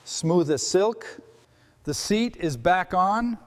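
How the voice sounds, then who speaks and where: A man hosting and narrating a restoration in a scripted, calm workshop commentary style. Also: high voice, takes it slowly. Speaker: host narrating a motorcycle restoration. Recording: long hall-like reverb.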